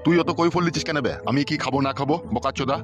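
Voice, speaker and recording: A man's voice: medium pitch, rapid-fire, natural voice. A man voicing a crude, tipsy middle-aged village uncle in a comedy cartoon, slangy and blustering. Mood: irritable. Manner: slangy, blustering, foul-mouthed. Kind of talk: comic cartoon dialogue. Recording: dull, muffled lo-fi cartoon audio. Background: music.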